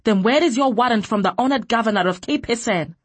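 A narrator, a woman, plays a bold protective woman in a fantasy novel. Her voice is husky, earnest and formal, recorded dry. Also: medium pitch, moderate pace, a little mellow, dry recording. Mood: defiant. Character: bold, protective woman defying armed soldiers.

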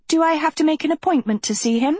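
A prim, princess-like teenage girl, acted by a woman narrator, pitched well up. She is prissy and indignant, insisting politely as a storybook heroine would. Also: expressive, measured pace, slightly rough, dry recording. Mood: pressing politely.

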